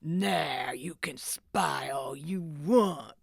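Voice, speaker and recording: A woman's voice: medium pitch, expressive, measured pace, dry recording, clean studio sound. A woman voicing a snarling, sneering male thief who leads a gang of crooks, threatening and hard. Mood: menacing.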